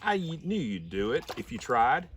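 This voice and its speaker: An earnest storybook boy, a dog's young master acted out by a man. His pitch leaps up high and drops back with feeling. He is heard slightly away from the microphone. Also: big pitch swings, normal speed, distant mic, a little hiss or hum, natural voice. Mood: delighted.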